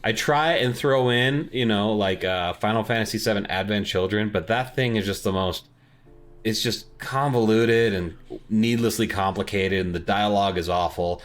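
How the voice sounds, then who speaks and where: A man's voice: fairly low pitch, normal speed. A man co-hosting a podcast, talking informally and with strong opinions about movies and games in casual chat. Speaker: podcast co-host.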